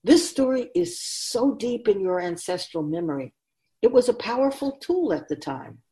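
A woman playing a wise, teasing, ageless female spirit guide in a radio drama, speaking in a measured, knowing way. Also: a little high, sing-song, mellow. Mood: earnest, instructive. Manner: measured, knowing, rhetorical questioning.

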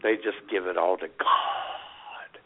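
A man's voice: low voice, steady tone, slow. A man co-hosting a comedy radio show, chatting casually in a folksy, irreverent storytelling way. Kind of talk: casual joking banter. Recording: narrow phone-like audio.

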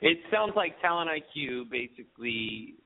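A man's voice: a little high, very animated, normal speed, vocal fry. A man on a casual panel chat, explaining in a plain, matter-of-fact way. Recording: dry tinny phone audio.

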